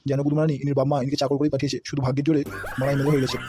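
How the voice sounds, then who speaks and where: A man's voice: mid-range voice, flat delivery, very fast, noisy background, natural voice. A man voicing a scheming, foul-mouthed servant in a comedy cartoon, sly, crude and grumbling. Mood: defensive, irritated.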